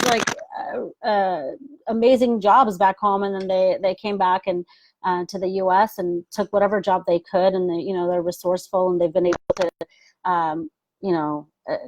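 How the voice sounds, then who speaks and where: A woman's voice: medium pitch, darkish tone, a little hiss or hum, poor audio. A woman on a discussion panel, talking casually with lots of fillers and small laughs. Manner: chatty, trailing fillers, laughs between thoughts. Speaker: panel member.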